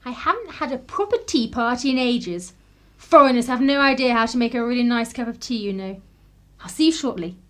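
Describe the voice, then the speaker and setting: An actress performs a well-mannered English lady in a radio play. She is chatty and quietly probing, with an English accent. Her voice sits fairly high and her delivery is genteel. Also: brisk, natural voice. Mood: breezy, insistent. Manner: genteel, polite. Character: polite, chatty, inquisitive English woman visitor with secrets. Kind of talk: radio drama acting.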